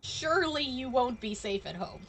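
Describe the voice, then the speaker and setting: A woman's voice: sits high, expressive, moderate pace, very noisy. A woman giving casual live game commentary as a streamer, reactive and playfully sarcastic.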